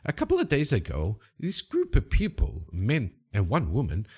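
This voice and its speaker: A man voicing a friendly middle-aged Brazilian riverboat captain with a put-on, Italian-sounding Portuguese accent, eager and halting, repeating himself. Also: on the low side, wildly swooping pitch, darkish tone, breathy edge, exaggerated voice. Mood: eager, recalling.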